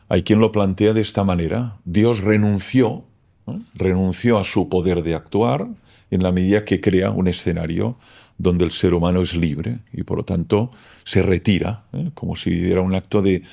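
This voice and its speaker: A man whose first language is Catalan speaks as a philosopher guest, reflective and explanatory. His voice sits low, with a mellow, dark tone and a gravelly fry. He keeps checking in with short questioning tags. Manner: thoughtful, explanatory, keeps tagging "¿no?". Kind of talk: reflective interview talk.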